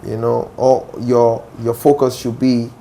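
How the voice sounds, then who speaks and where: A man's voice: medium pitch, monotone, measured pace, creaky, warm tone, a little hiss or hum, low-quality recording. A young Ghanaian man with a Ghanaian accent, a musician answering interview questions assertively, often checking "do you understand?". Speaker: musician guest.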